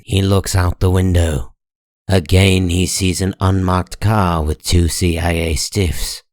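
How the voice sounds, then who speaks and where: A man narrating a scripted comic story in a measured, deliberate dramatic style. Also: deep voice, a little mellow, dry recording, studio quality.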